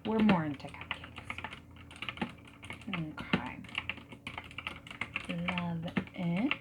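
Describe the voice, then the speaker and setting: A woman doing a friendly, reassuring ASMR roleplay as a dating service consultant, soft-spoken and often whispering. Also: fairly low pitch, very slow, heavy background noise. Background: mechanical keyboard typing and clicks.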